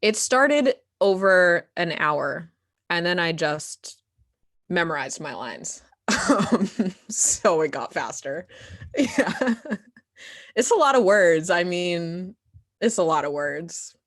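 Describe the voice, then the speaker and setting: Slow-paced, giggly, low-pitched young-sounding woman. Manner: giggly, slangy, expressive. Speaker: guest performer and playwright in a post-show talkback. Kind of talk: casual question-and-answer chat.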